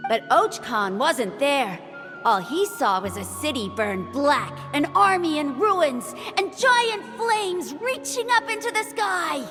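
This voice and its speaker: A woman voicing a lively young girl of a tribe in a game, telling a legend in a playful, dramatic way. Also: slow pace, long reverb tail, over music. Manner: playful, dramatic storytelling with big pitch swings. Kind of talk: game dialogue voice acting.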